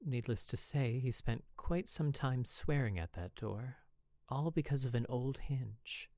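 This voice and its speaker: An expressive, measured narrator reading a first-person dramatic story. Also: mid-range voice, no room sound, clean studio sound. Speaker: podfic narrator.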